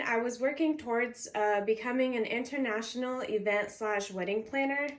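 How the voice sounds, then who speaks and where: A woman of twenty-one, raised in California with Arabic as her first language, reading a prepared introduction speech for a college class in a structured, signposted way. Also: on the high side. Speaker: college student.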